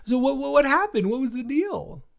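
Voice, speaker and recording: A man playing a teasing, supportive male roommate in an improvised romantic audio roleplay, talking casually and stammering, with animated outbursts. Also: extremely high pitch, moderate pace, vocal fry, heavily acted. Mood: surprised, incredulous. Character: male roommate and friend, teasing, supportive, indignant on her behalf.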